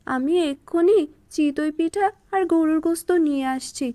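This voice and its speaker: High-pitched, devoted daughter-in-law played by a woman. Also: darkish tone, no room sound. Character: kind, devoted elder daughter-in-law, eager to please. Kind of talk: cartoon moral-story dialogue.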